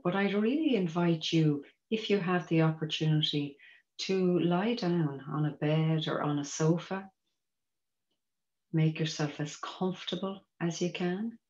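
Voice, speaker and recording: A woman teaching mindfulness, guiding a meditation in a gentle, measured, inviting manner. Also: sits low. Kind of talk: guided meditation instruction. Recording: roomy echo, dull muffled sound.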